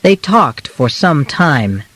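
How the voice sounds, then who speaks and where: Slow, expressive male audiobook narrator. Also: on the high side, husky, no room sound. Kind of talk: scripted narration of a simplified biography for learners.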